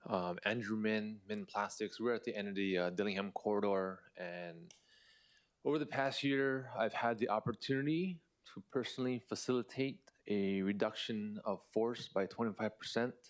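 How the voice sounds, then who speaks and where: A man with a somewhat low voice testifies at a council hearing as a local business owner. He speaks plainly and sticks to the facts. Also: moderate pace. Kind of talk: public testimony.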